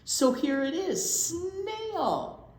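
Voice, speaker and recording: Woman storytime reader for small kids. Unhurried, animated pitch, expressive, echoey room. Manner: expressive, asking the children questions. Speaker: children's storytime teacher and reader. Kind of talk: picture-book read-aloud for young children. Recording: roomy, echoing indoor sound.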